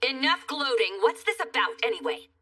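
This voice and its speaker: A woman voicing a haughty, easily flustered succubus sorceress in an animated dub, shrill, indignant and dramatic. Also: brisk, breathy edge, recorded from a distance, full character voice.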